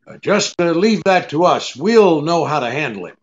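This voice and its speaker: An actor playing a cool, brisk, sardonic private detective in an old-time radio drama, with a clipped, take-charge manner. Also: male voice, a little high, expressive, natural voice. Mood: businesslike. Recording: dull lo-fi radio-play recording.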